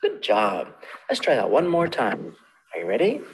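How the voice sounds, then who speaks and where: Lively sing-song librarian telling stories. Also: male voice, fairly low pitch, normal speed, vocal fry, background noise. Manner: gentle, sing-song storytelling, addresses children directly. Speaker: children's librarian. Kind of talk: children's story time narration.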